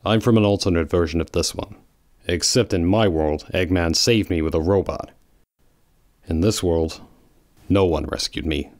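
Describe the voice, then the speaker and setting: A man's voice: low-pitched, moderate pace, darkish tone, dry recording, studio quality, close to an everyday voice. A man playing a brooding, guarded male hedgehog in a fan audio drama, speaking curtly and seriously. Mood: grim.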